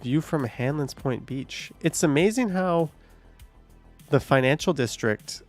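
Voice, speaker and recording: A man's voice: a little high, expressive, unhurried, faint music behind. A man hosting a live stream, chatting casually, thinking aloud and swearing now and then.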